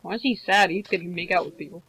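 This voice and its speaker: A woman's voice: fairly low pitch, quick pace, a bit bright, breathy edge, dry recording, low-quality recording. A woman chatting casually as a co-commentator on an online voice call. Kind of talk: casual chat.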